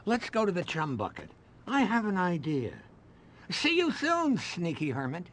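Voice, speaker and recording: A man voicing an excitable, gleefully evil talking bubble villain in a cartoon game, with theatrical delivery. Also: high voice, measured pace, full character voice. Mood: scheming. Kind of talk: video game cartoon voice acting.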